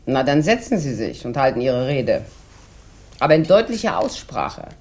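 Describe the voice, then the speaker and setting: A woman's voice: extremely low pitch, big pitch swings, moderate pace, darkish tone, full character voice. A woman playing a bored, boozy rich housewife in a 1960s German radio drama, theatrical and sardonic, drawing out her rambling remarks. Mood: haughty, teasing.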